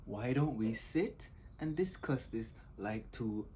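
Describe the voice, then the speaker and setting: A man's voice: mid-range voice, expressive, slow pace, warm tone. A man with a Jamaican accent chatting casually to viewers as a host, in a teasing, informal patois. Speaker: host of a comedy compilation channel.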